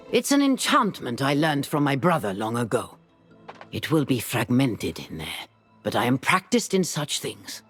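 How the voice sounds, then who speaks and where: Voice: mid-range voice, slightly rough. An actor playing a grave, scholarly enchanter in a fantasy game, speaking in formal, theatrical, archaic phrases. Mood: grave, assured. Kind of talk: dramatic scripted fantasy game dialogue and narration. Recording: music behind the voice.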